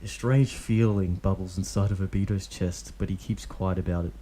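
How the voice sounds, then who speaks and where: A man reads a story aloud in a steady, plain voice. He pitches it low, with a soft, mellow tone. Now and then he slips in a dry joking aside. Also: moderate pace, slight background noise. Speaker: reader of fan fiction.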